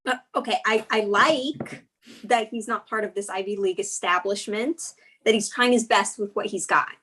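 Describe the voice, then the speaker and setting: A woman's voice: medium pitch, normal speed, pushed and bright. A woman playing a hesitant, loyal young girlfriend in a comedy script table read. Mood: defensive.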